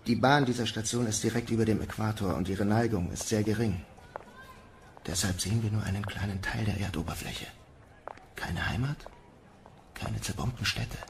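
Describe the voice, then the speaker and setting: A man's voice: fairly low pitch, normal speed, long pauses, slight background noise, natural voice. A man playing a world-weary, guarded but protective Mars mission commander in a German audio drama. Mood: sombre, matter-of-fact.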